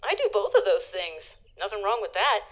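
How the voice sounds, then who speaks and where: A woman's voice: medium pitch, sing-song, normal speed, fairly bright tone. A woman playing an easygoing, supportive best friend in an audio drama, with a casual, reassuring way of talking.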